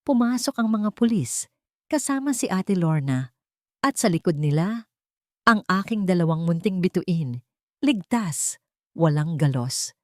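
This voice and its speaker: A woman narrating a scripted drama in the first person, with deliberate, dramatic short phrases. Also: on the low side, very animated, a little mellow, no room sound, clean studio sound. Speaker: story narrator.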